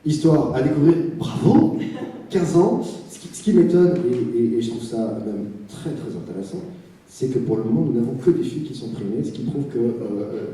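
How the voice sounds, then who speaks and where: A man hosting an awards ceremony in a large hall, talking lively with stammers and repeated words. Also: medium pitch. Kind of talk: live ceremony emceeing. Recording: echoey hall from far off. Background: audience.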